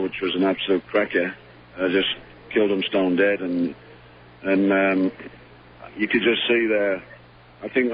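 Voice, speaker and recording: A man calling in as head coach, hoarse from losing his voice, speaking haltingly with many fillers in a post-match phone interview. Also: lowish voice. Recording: dull phone line with faint hum.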